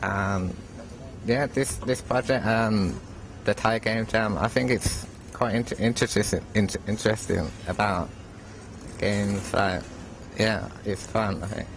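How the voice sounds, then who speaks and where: A man's voice: fairly low pitch, fairly even intonation, unhurried, slight background noise, rough recording. A young man from the United Kingdom, a student, answering hesitantly and repeating words. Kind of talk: casual interview answers.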